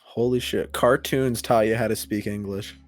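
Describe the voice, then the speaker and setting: A man hosting a podcast, talking in a relaxed way, swearing casually and laughing often. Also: lowish voice, moderate pace. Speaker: podcast host.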